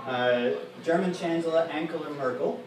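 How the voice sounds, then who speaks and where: A man co-hosting a live comedy show, reading a mock news report in a halting, dry way full of "uh"s. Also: on the high side, background noise. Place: comedy bar stage with live audience. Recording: echoey live room from far off, loud crowd.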